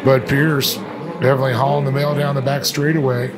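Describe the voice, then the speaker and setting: A man's voice: medium pitch, level tone, brisk. A man giving live watercraft race commentary as an announcer, in colloquial, folksy racing slang. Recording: loud engine noise behind voice. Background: loud watercraft engines outdoors.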